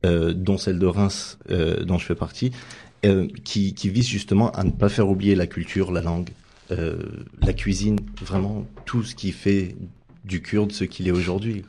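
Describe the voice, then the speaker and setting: Man, from France, radio guest, measured, explanatory, low dark voice. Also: flat delivery, slightly rough. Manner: measured, explanatory, some fillers. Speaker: guest, member of a community association. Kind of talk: radio interview answers.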